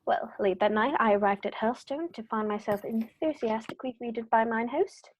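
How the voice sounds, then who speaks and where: A brisk and inquisitive gentleman detective, acted by a woman in the manner of 1930s radio drama. The voice sits somewhat high and sounds theatrical and sure of itself. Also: lightly acted, low-quality recording. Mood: brisk storytelling. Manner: theatrical, self-assured, inquisitive. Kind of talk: 1930s-style radio play acting.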